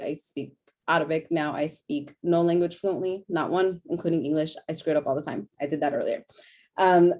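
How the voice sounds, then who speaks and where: A woman's voice: low voice, darkish tone. Earnest woman reading her panel talk. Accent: General American. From born in a refugee camp in Sudan, raised in Denver. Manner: earnest, self-correcting, occasional laughs.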